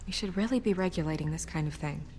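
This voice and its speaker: An actress playing a serious, worried young official in a video game, speaking in an earnest, guarded, businesslike way. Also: female voice, deep voice, fast talker, background noise, lightly acted.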